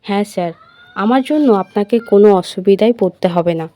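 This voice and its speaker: A woman's voice: mid-range voice, normal speed, mellow, natural voice. A woman voicing a polite, dutiful young female secretary in an audio love story, meek and submissive. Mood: resigned.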